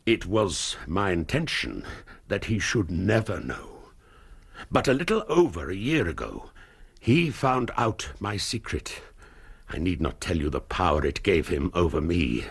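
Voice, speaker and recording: An anguished English nobleman in a period radio drama. His upper-class voice is gravelly and husky, swinging widely in pitch. He speaks formally and gravely, halting under the strain of his pride. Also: very animated, exaggerated voice. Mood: sorrowful confession.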